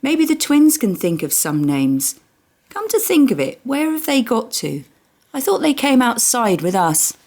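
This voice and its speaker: A brisk storybook mum, voiced by a woman reader, wry and a little exasperated. Her lines are pointed and bossy, pitched somewhat up. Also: big pitch swings, darkish tone, heavily acted.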